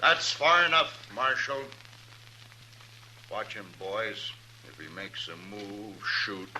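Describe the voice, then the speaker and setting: An actor playing a hard, suspicious rancher father bent on revenge, with an American accent and a gruff, terse, brooding delivery in 1950s radio western style. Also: on the low side, sing-song, takes it slowly. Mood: menacing. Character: hard, suspicious, vengeful rancher father, middle-aged or older. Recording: noisy vintage radio broadcast.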